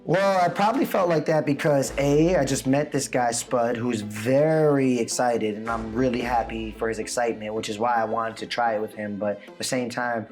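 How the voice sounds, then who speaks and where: A man tells a loose, animated story on a casual show, swearing freely and acting out what people said. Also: medium pitch, moderate pace, few pauses, faint music behind, rough recording. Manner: animated, loose, swears a lot, acts out quotes. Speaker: co-host telling a story.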